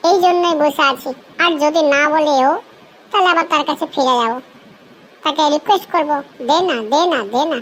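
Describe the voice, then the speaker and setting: Woman voicing a high-pitched lecherous young cartoon husband. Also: brisk, slight background noise, close to an everyday voice. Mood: scheming, pleading. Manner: coarse, slangy. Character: lecherous, hapless young married man, cartoon prankster. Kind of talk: comic cartoon dialogue.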